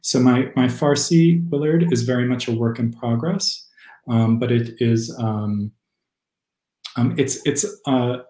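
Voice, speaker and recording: A young adult man, a poet and critic, talking over a video call from a room at home, thoughtful and hesitant, with many pauses and fillers. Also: mid-range voice, dark tone. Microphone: video call webcam. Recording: roomy echo.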